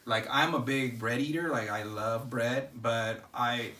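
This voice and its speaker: A man's voice: mid-range voice, steady tone, unhurried, bright, forceful tone. A man chatting casually and upbeat as he hosts a family video, asking questions to draw the others in. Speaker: father hosting a family video. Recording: distant mic, faint background noise.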